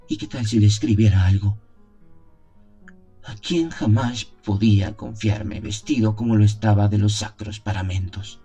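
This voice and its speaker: Deep, mellow male horror narrator. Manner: solemn, measured. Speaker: narrator of an audio drama. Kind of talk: dramatic first-person gothic horror narration.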